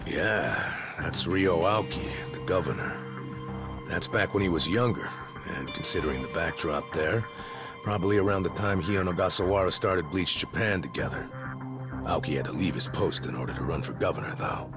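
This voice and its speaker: A man voices a frank, street-level male ally in a crime game. The voice is deep and matter-of-fact, with a no-nonsense delivery. Music is clearly audible underneath. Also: normal speed, natural voice. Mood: puzzled, explaining. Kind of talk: English video game voice acting.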